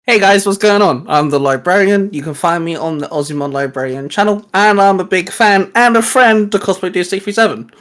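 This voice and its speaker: A man's voice: high-pitched, fairly quick, few pauses, a bit bright. A young man, a guest artist, explaining things in informal, rambling chat.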